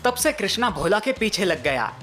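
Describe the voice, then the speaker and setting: A woman's voice: medium pitch, sing-song, normal speed, fairly bright tone, soft background music. A woman giving scripted narration of a children's moral story.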